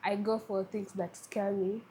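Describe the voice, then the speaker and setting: A woman's voice: medium pitch, little pitch movement, measured pace, warm tone, a little hiss or hum. Kenyan woman, friendly interview guest. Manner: friendly, with frequent restarts and fillers. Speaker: guest, tech community lead. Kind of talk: conversational interview.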